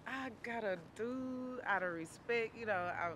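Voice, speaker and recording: A woman's voice: a little high, a little slow, noisy background. A woman in her thirties from Houston with a Houston accent, talking in a playful, coaxing, slangy way with lots of stammering restarts. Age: 30s. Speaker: prank participant. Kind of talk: casual street chat. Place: outdoors on a street, then inside a car.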